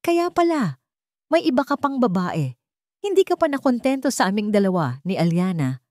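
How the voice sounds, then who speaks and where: A woman's voice: medium pitch, sing-song, moderate pace, a little mellow, dry recording, studio quality, pitched above the performer's own voice, natural voice. A woman voicing a jealous, suspicious mistress in an audio story, with an agitated, accusing manner. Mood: furious, shouting.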